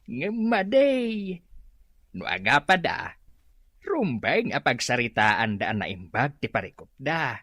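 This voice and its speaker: A gossipy Ilocano neighbour in a radio comedy. He exclaims and tuts with very lively, swinging intonation. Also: medium pitch, slow, dry recording, studio quality, exaggerated voice. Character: gossipy neighbour commenting on a quarrelling couple.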